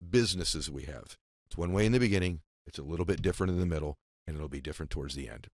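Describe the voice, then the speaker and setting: Brief: very deep, quick-talking host, emphatic, dry booth sound. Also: male voice, darkish tone, dry recording. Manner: emphatic, hand-gesturing, motivational. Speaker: podcast host. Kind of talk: reflective interview talk. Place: radio studio. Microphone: studio boom mic, close.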